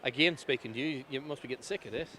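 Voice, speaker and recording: Male sports interviewer: rapid, bright voice, chatty outdoor post-race style. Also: medium pitch, a little hiss or hum. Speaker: racing TV interviewer. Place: outdoors at a racecourse.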